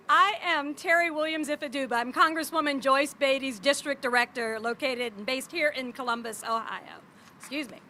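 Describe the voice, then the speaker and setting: A woman's voice: high-pitched, pushed and bright, slight background noise. A woman, a district director formally reading a proclamation at a ceremony. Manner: measured and formal, light laughs. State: slightly hoarse.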